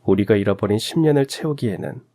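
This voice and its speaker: A man's voice: fairly low pitch, expressive, fast talker, dark tone. A man reading a scripted first-person story as the narrator of a radio drama. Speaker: narrator of audio stories.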